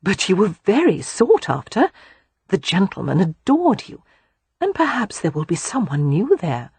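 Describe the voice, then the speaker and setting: A woman narrator plays a gentle young mother and elder sister in an audiobook. The voice is a little husky and expressive in pitch. She advises kindly and soothes. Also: a little slow, dry recording, heavily acted. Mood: encouraging. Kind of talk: audiobook character voice.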